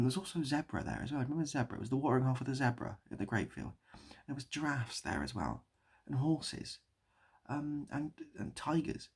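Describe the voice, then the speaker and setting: A British man improvising a rambling story and chatting as a video host, mock-nostalgic and often repeating his phrases, with a husky, gravelly voice. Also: mid-range voice. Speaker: online video creator and host.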